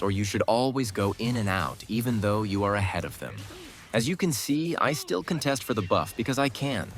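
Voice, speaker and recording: Male gaming guide narrator, lowish pitch, instructive delivery with cocky trash-talk flavor. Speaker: gaming guide creator, commentator. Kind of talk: scripted game guide commentary.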